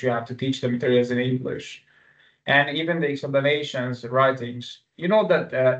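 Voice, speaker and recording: Dull-sounding male instructor, native Arabic speaker. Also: mid-range voice. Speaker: exam-prep instructor. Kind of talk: webinar teaching with live quiz. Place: online webinar. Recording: dull, lo-fi webinar audio.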